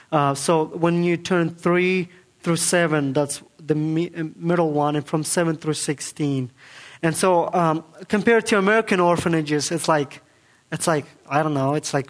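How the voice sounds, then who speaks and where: Earnest man. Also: a little high. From Kazakhstan. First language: Kazakh. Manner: earnest, halting, with many fillers and restarts. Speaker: guest speaker giving testimony. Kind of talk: church testimony.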